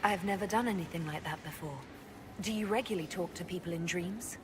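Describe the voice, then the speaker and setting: A woman's voice: on the low side, brisk, background noise, natural voice. A woman playing a sincere, curious heroic leader in a fantasy video game, speaking earnestly and politely. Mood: soft, curious.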